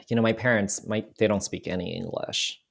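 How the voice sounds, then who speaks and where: Low, gravelly-voiced man reflecting calmly. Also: moderate pace. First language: Cantonese. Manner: thoughtful, frequent restarts and "you know". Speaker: podcast guest, photographer. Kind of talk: reflective casual conversation.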